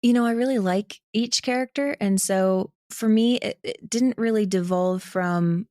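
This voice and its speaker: A woman's voice: mid-range voice, a little slow, darkish tone, no room sound, studio quality. A young American woman talking casually and reflectively as an interview guest, rambling a little. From small town in Ohio, United States. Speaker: podcast guest, audio drama writer and voice actor.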